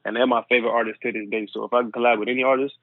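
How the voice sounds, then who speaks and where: Male guest, quick talker, dry and phone-thin sound. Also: medium pitch, level tone, no echo. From Guyanese family. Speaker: guest, music producer. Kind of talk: casual interview chat. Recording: tinny phone-like remote call.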